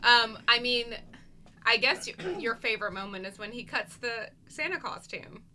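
A woman co-hosting a casual chat podcast, husky after an illness and hesitant with many ums. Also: fairly high pitch, animated, moderate pace, sharp tone. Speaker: podcast co-host, playwright.

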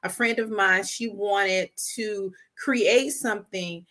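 A woman's voice: medium pitch, steady tone, takes it slowly, sharp tone. A woman guest on an interview podcast, talking earnestly and animatedly about her life and work as a storyteller. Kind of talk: casual interview talk.

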